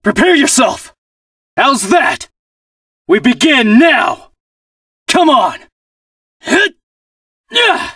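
A man's voice: extremely high pitch, sing-song, drawn-out words, long pauses, sharp tone, no echo, clean studio sound, far from the mic, exaggerated voice. A male actor playing a cocky, determined armored fighting hero in a video game, in short punchy combat exclamations. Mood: confident. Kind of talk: video game battle barks.

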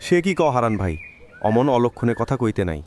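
Animated, concerned village man, acted by a man. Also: mid-range voice, fairly quick, natural voice. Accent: rural Bangladeshi. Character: concerned, sympathetic village friend. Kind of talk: cartoon voice acting. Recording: faint background noise with birdsong.

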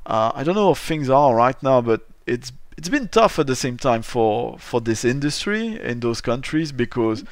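A middle-aged man from Belgium hosts a relaxed podcast chat. He rambles and restarts sentences as he goes. His voice is mid-pitched and recorded close and dry. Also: clean tone, dry recording. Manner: chatty, rambling, many restarts and laughs. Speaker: podcast host. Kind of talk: casual podcast interview.

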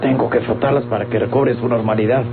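A man's voice: mid-range voice, steady tone, quick pace, lightly acted. An actor playing a crafty old captive man in a Mexican radio drama, with a theatrical, pleading and protesting delivery and a Mexican accent. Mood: aggrieved. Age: older. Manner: theatrical, groaning, begging and protesting. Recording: muffled old radio recording with loud hum.

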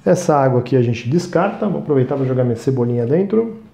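A man in his thirties with a Brazilian Portuguese accent, hosting a cooking show and chatting to viewers as he works, often checking in with a quick "right?". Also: mid-range voice, expressive, gravelly, reverberant, noisy background. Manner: chatty, talks to viewers as "gente", frequent "tá?" checks. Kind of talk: cooking demonstration. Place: small studio kitchen set.

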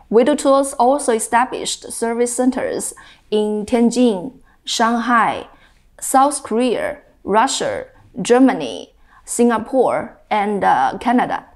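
Woman executive answering with careful pauses. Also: fairly high pitch. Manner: careful, with hesitations. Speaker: company executive interviewee. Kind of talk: interview answers. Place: office indoors.

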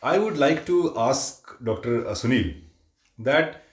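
A man in his thirties from India hosts a relaxed sit-down chat on a sofa, his voice sitting at a middle pitch. He explains at length, with filler sounds and restarts. The sound carries a noticeable room echo from a camera mic some distance away. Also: slow pace. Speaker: interviewer and channel host. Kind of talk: casual conversational interview. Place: living room, seated on a sofa indoors. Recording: echoey room.

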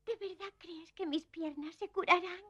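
Casting: fragile, fearful young girl for a cartoon dub, bright and very high, hesitant, dry sound. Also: fairly even intonation, normal speed, no room sound, muffled recording. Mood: hushed, doubtful. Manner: hesitant, plaintive. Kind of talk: dubbed cartoon acting.

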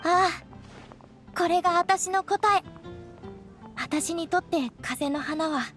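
An earnest teenage girl ranger from an anime game. Her voice is very high and a little shy. Light music plays behind her. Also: takes it slowly, a bit bright, full character voice. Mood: earnest, heartfelt. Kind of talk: Japanese anime game voice acting.

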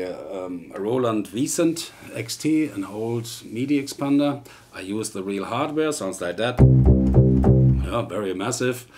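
A middle-aged man with a German accent, talking through a music tutorial in a relaxed, hesitant way, with frequent fillers. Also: on the low side. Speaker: guitar tutor. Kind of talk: casual tutorial talk. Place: home music studio.

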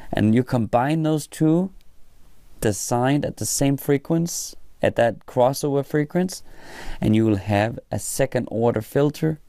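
Slow, gravelly man explaining hands-on. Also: medium pitch, dark tone, no room sound, clean studio sound. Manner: halting, pausing mid-sentence. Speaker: presenter of a hobby electronics tutorial. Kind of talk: informal hands-on technical explainer.

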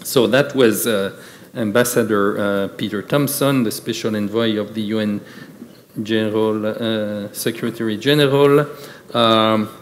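A man's voice: lowish voice, steady tone, measured pace, a little hiss or hum. A man moderating a conference panel, speaking with many fillers and restarts. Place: large conference hall with audience. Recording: reverberant conference hall.

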